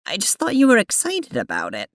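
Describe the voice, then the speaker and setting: A woman playing a prim, earnest young alien troll in an audio drama, speaking formally and haltingly with an awkward, apologetic manner. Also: medium pitch, wildly swooping pitch, a little hiss or hum. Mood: hesitant, deflated.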